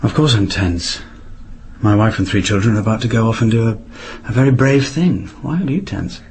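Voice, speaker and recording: An actor playing an earnest, patronizing British intelligence officer and husband in a radio drama, urgent and persuasive. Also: male voice, on the low side, animated, brisk, darkish tone, slight background noise. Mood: tense. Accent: British English.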